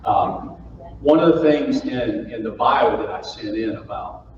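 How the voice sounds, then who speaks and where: A man's voice: medium pitch, unhurried. A man from Florida tells a folksy, joking story from a conference stage. He sounds distant, with a long echo from the large hall. The sound is somewhat muffled, with faint noise behind him. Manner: folksy, jokey, playful with the audience. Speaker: keynote speaker. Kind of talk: humorous keynote storytelling to a live audience.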